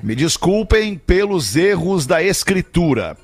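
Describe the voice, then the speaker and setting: A high-pitched man, hosting a loose comedy podcast. He reads listener messages slowly and deliberately, then jumps back into quick banter with his co-hosts, sometimes breaking into loud laughing shouts. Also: very animated, crowd noise. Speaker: podcast host reading listener mail.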